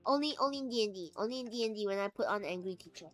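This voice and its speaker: A woman's voice: mid-range voice, lively intonation, very fast, soft background music. A woman streamer chatting casually, laughing often and acting out little quoted voices.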